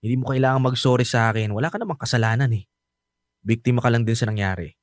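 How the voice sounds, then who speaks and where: A man's voice: mid-range voice, quick pace, dry recording, studio quality, natural voice. An actor playing a bitter, resentful young adult son, protective of his mother, speaking with heated emphasis in a radio drama.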